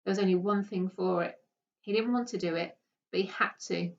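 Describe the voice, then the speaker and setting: A woman reading a children's story aloud with expressive narration. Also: medium pitch, brisk. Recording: dull, distant microphone.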